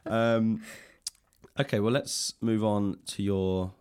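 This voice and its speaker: Male interviewer, low register, casual chat. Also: level tone, slow pace, darkish tone, smooth. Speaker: podcast host and interviewer. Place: indoors in a public library room.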